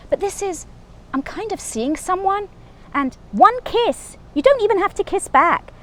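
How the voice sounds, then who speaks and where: A woman's voice: sits high, pitched above the performer's own voice. A woman voicing a flustered, evasive young man who stumbles and backpedals as he talks.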